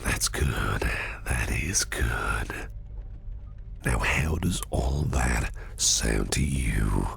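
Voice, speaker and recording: A man playing a shady businessman boss in an ASMR roleplay, flirtatious and protective, murmuring in a whispery gravelly voice with slow, teasing deliberation. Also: rumbling low, fairly bright tone, full character voice. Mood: pleased, approving. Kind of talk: ASMR roleplay, close personal attention.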